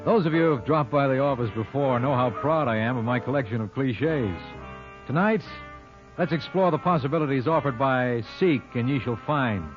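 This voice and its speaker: Over prominent music on a muffled old radio recording, an actor plays a wry American lawyer telling a mystery. He is a mid-pitched man, self-amused and folksy in his narration. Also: moderate pace, close to an everyday voice. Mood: wry, inviting. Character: wry, cliche-loving male lawyer narrating mystery tales.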